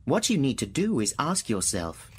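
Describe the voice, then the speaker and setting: An actor playing an aloof thinking machine in a radio drama, measured, precise and mocking. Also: male voice, medium pitch, wildly swooping pitch, soft background music. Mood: coolly logical. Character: sentient thinking machine, aloof, taunting, coolly logical.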